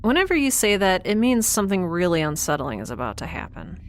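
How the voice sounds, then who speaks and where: A woman's voice: on the low side, very animated, fast, a little hiss or hum. A woman playing a curious, wry young scientist aboard a spaceship in an audio drama, speaking in a hesitant, understated way. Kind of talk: naturalistic audio drama acting. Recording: audio drama with clear background ambience.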